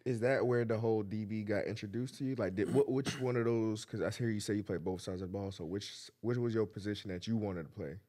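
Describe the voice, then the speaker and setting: A man's voice: fairly low pitch, quick pace, hardly any pauses, dark tone. A man hosting a casual podcast interview, asking questions in an easygoing way. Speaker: podcast host.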